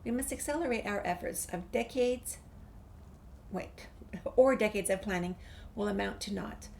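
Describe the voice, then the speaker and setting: A woman with a creaky voice, reading a game's documents and dialogue aloud as a gameplay streamer. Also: mid-range voice, moderate pace, a little mellow. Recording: faint background noise, game ambience.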